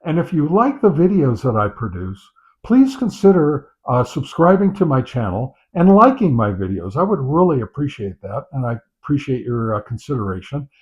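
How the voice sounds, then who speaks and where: A dull, lo-fi recording of a man with a slightly low-pitched voice. He hosts a hands-on product test, talking off the cuff, with hesitant pauses and well-worn phrases as he goes. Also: very animated. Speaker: host of a radio gear review channel. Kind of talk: unscripted product test commentary. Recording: dull, muffled lo-fi sound.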